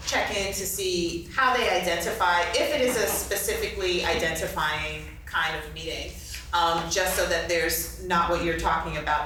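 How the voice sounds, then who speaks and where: A woman's voice: on the low side, pushed and bright. A woman moderating a panel and answering audience questions in spontaneous talk. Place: convention meeting room. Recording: echoey room from far off, faint noise.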